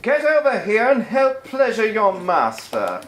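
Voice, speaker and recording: Man putting on a high-pitched imperious young noblewoman. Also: wildly swooping pitch, a little slow, echoey room, very noisy, full character voice. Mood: demanding, urgent. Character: imperious stern young English noblewoman, commanding.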